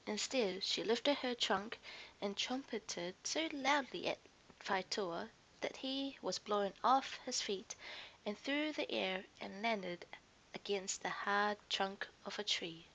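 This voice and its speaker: A woman's voice: medium pitch, slow pace, fairly bright tone, rough recording. A woman narrating a scripted children's story expressively, as a storyteller to young listeners.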